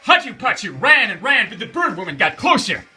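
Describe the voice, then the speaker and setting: Very bright, animated male storyteller. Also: sits high, a little fast, breathy edge, faint music behind. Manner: theatrical, emphatic, repeating phrases for suspense. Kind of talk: dramatic children's bedtime story narration.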